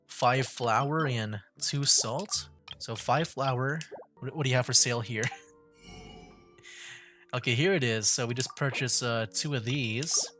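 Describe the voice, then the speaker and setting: A man doing casual live game commentary as a streamer, often chuckling as he talks. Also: medium pitch. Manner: chuckles often while talking. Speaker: streamer and gamer. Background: faint game music.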